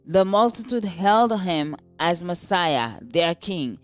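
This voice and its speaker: A woman reads a scripted devotional in a measured, deliberate way. Her voice sits a little low and moves very slowly, with faint music playing behind her. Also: sing-song. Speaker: devotional reader. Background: background music.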